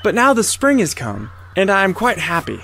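A humble, kindly gardener in an audio drama. He is meek and eager, with a rather high voice that rises and falls freely. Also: huge pitch swings, normal speed, a bit bright, a little hiss or hum, exaggerated voice. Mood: grateful. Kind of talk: theatrical audio drama acting.

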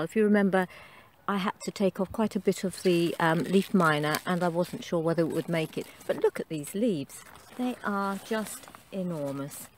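A middle-aged woman talks through her gardening in a relaxed, homely way, her voice sitting a little low. She fills pauses with ums as she goes. It sounds like a phone recording made outdoors in a garden. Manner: chatty, homely, with frequent ums. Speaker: gardening vlogger. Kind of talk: casual gardening commentary. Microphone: handheld phone camera.

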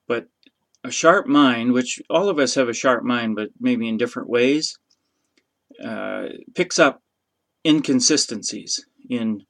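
A man in his fifties giving an informal, unscripted religious teaching, speaking haltingly and thoughtfully as he searches for words, recorded in a small room. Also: medium pitch. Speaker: host of a religious teaching video.

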